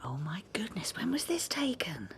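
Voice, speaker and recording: An actress playing a talkative, prim and proud small-town historian with an English accent and quaint phrasing, in a radio drama. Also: female voice, medium pitch, sing-song, normal speed, gravelly, breathy edge, exaggerated voice. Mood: prim, curious. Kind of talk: naturalistic radio drama acting.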